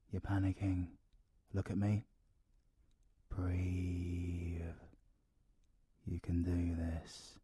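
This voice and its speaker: A British man playing a roguish, protective, gruff yet tender supervillain in an audio roleplay, husky and colloquial. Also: low voice, warm tone, no room sound, full character voice. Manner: sweary, teasing, colloquial. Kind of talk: audio roleplay, villain ASMR.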